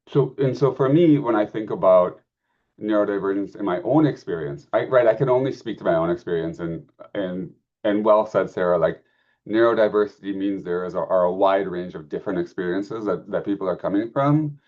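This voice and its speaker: A man's voice: low-pitched, normal speed, a little mellow, highs cut off. A man answering as a panelist, thoughtful and searching for words.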